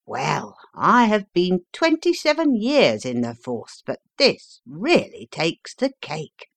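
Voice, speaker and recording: A woman's voice: lowish voice, wildly swooping pitch, takes it slowly, creaky, exaggerated voice. A woman reading the part of a veteran police inspector, blunt and chuckling. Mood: jovial. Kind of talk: audiobook character reading.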